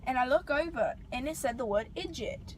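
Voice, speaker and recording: A woman's voice: high voice, lively intonation. A young woman telling casual stories while sitting in a parked car, recorded by a camera on the dashboard. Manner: chatty storyteller, quick asides. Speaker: vlogger. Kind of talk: casual chat. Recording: noisy car interior.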